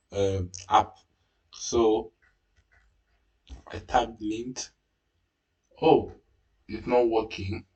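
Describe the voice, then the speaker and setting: Tutorial host, male, French native speaker, hesitant and unhurried, slightly low pitch. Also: darkish tone, recorded from a distance, low-quality recording. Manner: hesitant, many fillers and restarts. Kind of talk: casual screen-recorded tutorial.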